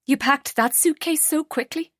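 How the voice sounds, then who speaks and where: A woman's voice: high-pitched, moderate pace, a bit bright, no room sound, clean studio sound, voice pushed up. A woman doing a heartbroken, bitter young woman. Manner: tearful, pleading then cold and clipped.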